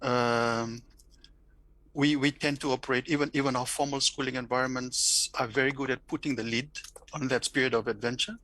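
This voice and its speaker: A man's voice: medium pitch, fairly even intonation, moderate pace, bright, forceful tone, no echo, low-quality recording. A middle-aged man from Fiji, speaking English with a Fijian accent, reflecting thoughtfully in an interview with many restarts and repeated words. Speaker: interview guest, academic.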